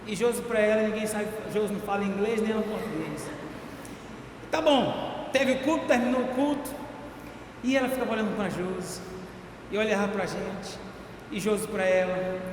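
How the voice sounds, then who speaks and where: A Brazilian man, a guest preacher, greeting the church and telling a personal story in a lively, storytelling way. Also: sits high, moderate pace, long pauses. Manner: warm storytelling, gets loud and excited at punchlines. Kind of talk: sermon opening with personal story. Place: large church hall. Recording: echoey church hall with long reverb, noisy.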